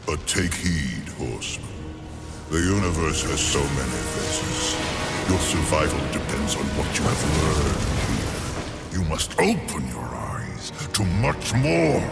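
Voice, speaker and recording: Deep, gravelly ancient being. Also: male voice, heavily acted. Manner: slow, portentous, formal. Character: ancient solemn powerful being, commanding and cryptic. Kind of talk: dramatic video game acting.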